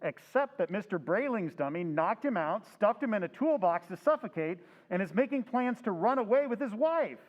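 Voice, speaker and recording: A man's voice: high-pitched, sing-song, normal speed, hardly any pauses, long reverb tail, lo-fi sound. A man playing an earnest handyman friend. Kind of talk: old-time radio drama recreation.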